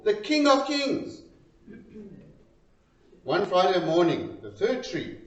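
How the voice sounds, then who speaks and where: Distant, echoing man reading a story expressively. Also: a little high. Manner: expressive, deliberate, pausing between short phrases. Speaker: reader of a poem. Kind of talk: dramatic storytelling recitation. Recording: roomy, distant, dull lo-fi sound.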